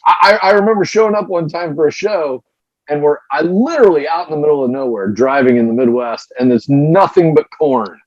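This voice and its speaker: A man's voice: a little high, animated, brisk, a little mellow, no room sound, highs cut off. A man, a former pro wrestler, telling road stories in a casual interview, often repeating his first words. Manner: storytelling, repeats words when starting.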